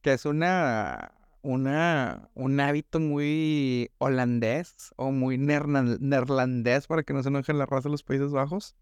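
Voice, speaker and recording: Casting: young Monterrey man, podcast co-host, smooth clear voice, rambling and slangy, dry close mic. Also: mid-range voice, expressive, a little slow, no echo. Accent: northern Mexican (Monterrey). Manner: slangy, rambling, says "güey" constantly. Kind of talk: casual chat.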